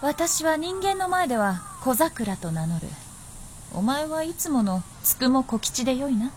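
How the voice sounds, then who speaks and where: An actress playing a playful, confident, centuries-old fox princess in a radio drama, with teasing, old-fashioned haughty speech. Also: female voice, high voice, very animated, measured pace, darkish tone, a little hiss or hum. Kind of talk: Japanese radio drama acting.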